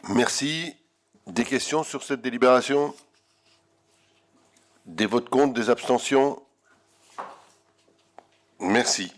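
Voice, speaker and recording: A man chairing a council meeting, making informal announcements in a halting way with repeated words. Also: medium pitch. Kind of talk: informal closing remarks and announcements.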